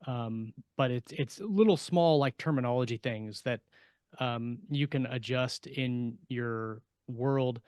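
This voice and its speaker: A middle-aged man co-hosting a casual panel podcast as an author, explaining things haltingly into a close desk microphone at home. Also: mid-range voice, darkish tone, clean tone, no room sound. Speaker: podcast co-host and science fiction author. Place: small home room lined with shelves. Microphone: close desk microphone with headset, on a video call.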